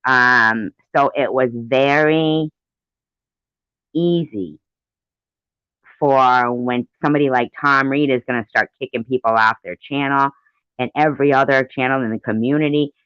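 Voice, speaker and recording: A woman's voice: rumbling low, steady tone, a little slow, fairly bright tone, clean tone, no room sound. A middle-aged woman giving indignant, emphatic personal commentary, often repeating her phrases. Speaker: commentator reacting to played audio. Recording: dull, lo-fi recording.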